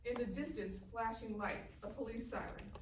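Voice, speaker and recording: A woman's voice: on the high side, normal speed. A woman reading screenplay action lines as narrator at a live table read. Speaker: stage-direction narrator. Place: live reading in a festival room. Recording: echoey room, distant mic, faint noise.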